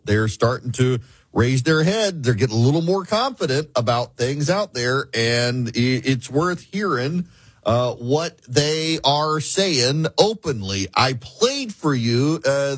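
A man's voice: mid-range voice, husky, dry recording. A man from the American South hosting an opinionated political talk radio show, sarcastic and mocking.

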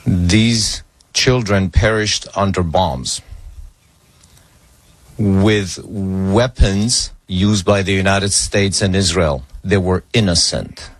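Male interpreter, very deep voice, slow and solemn, dry close sound. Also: dry recording. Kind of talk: simultaneous interpretation of a speech.

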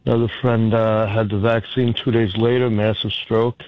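A man calling in to a radio show, telling a chatty, rambling story with halting restarts. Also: fairly low pitch, level tone, dry recording, phone-quality sound. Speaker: radio caller. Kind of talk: phone-in conversation.

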